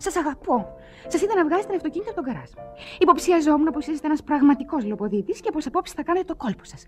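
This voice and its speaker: A woman's voice: high voice, lively intonation. A woman playing a headstrong, lovestruck young woman in a Greek radio play, impatient, insistent, bossy and pleading by turns. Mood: urgent, confessing. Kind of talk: Greek radio theatre acting. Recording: radio play with music behind.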